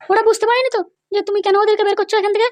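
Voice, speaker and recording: Woman as a shrill-high, vindictive cartoon wife. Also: fairly even intonation, very fast, dry recording. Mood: vindictive, demanding. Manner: commanding, insistent. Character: vindictive, pushy wife of a schoolmaster. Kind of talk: comedy cartoon dialogue.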